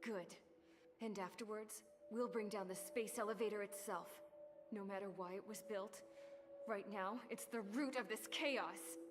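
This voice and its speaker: An actress playing a gritty, wry mechanic in a game cutscene, blunt and colloquial. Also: female voice, mid-range voice, a little slow, music in the background, lightly acted. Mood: resolute. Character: gritty, wry female mechanic, plain-spoken and resourceful. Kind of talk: dramatic game cutscene narration. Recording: loud musical score behind.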